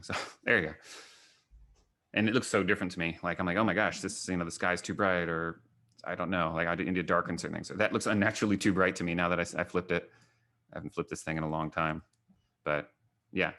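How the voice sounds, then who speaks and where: A man's voice: deep voice, fairly even intonation, fast, sharp tone. An American man from Kentucky speaking informally on a video call as an artist, in a rambling, anecdotal way that often quotes people. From Kentucky, United States. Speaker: photographer and artist giving a gallery talk. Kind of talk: informal online talk, answering questions.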